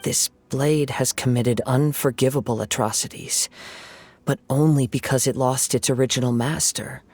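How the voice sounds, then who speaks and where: A man voicing a calm, reflective young wandering swordsman in a video game, speaking in a measured, poetic and solemn way. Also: medium pitch, no echo, faint music behind, close to an everyday voice. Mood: gently regretful. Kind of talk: dramatic video game story dialogue.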